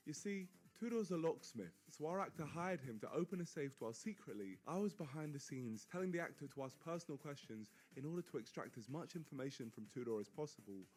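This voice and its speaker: A young man hosting a prank video, telling the story in a brisk, playful, conspiratorial way. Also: on the high side, expressive, darkish tone, soft background music. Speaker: prank video host narrating. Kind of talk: scripted vlog narration.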